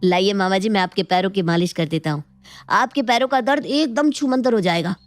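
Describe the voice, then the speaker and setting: A woman voicing a scheming, flattering young nephew, boastful and eager to please, in an animated moral story. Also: medium pitch, brisk, lightly acted. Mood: fawning. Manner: ingratiating, boastful.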